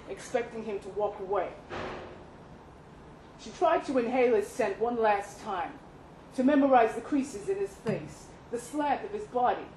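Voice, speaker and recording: A woman reading a literary short story aloud at a live event, with expressive, deliberate phrasing and dramatic pauses. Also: fairly high pitch. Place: indoor live reading event at a pub venue. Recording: roomy live venue, some background noise.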